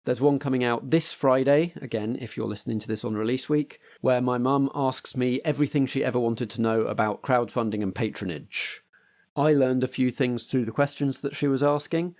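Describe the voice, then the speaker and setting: A man's voice: mid-range voice, darkish tone. A British man hosting a podcast, addressing listeners in a theatrical, chatty announcing style. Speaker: podcast host and game keeper.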